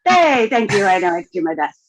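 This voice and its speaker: A woman's voice: mid-range voice, big pitch swings, fairly quick, dry recording. A woman chatting casually about herself as a podcast guest. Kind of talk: casual self-introduction.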